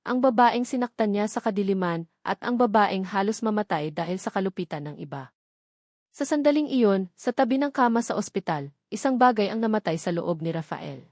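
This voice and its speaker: A woman reading a scripted story as a narrator, in a measured, dramatic way with emphatic phrasing. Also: medium pitch, darkish tone, no echo, studio quality.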